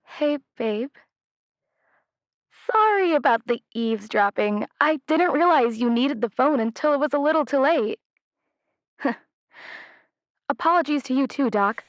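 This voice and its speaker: A woman's voice: high-pitched, expressive, no echo. A woman playing a flighty, apologetic, affectionate ghost in an audio drama, speaking hesitantly as a caller to an advice show over a lo-fi phone line. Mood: sheepish, apologetic. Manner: hesitant, halting.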